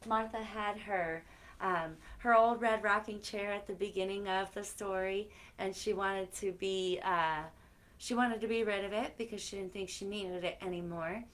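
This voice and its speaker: A woman's voice: medium pitch, fairly even intonation, moderate pace, fairly bright tone. A woman hosting a children's story time, reading aloud expressively and chatting directly to her young listeners. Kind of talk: animated read-aloud for children with chatty asides.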